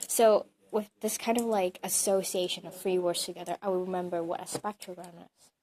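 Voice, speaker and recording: A woman's voice: fairly low pitch, steady tone, fairly quick, mellow. Young woman, chatty and hesitant. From Hong Kong. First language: Cantonese. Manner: thinks aloud, many "like" and "um" fillers. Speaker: interviewee, bilingual student. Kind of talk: casual interview answers.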